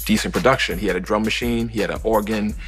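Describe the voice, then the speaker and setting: A man's voice: fairly low pitch, fairly even intonation, fast, soft background music. A man casually recalling the past in a documentary interview, quoting himself in informal slang. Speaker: interviewee recalling old friends.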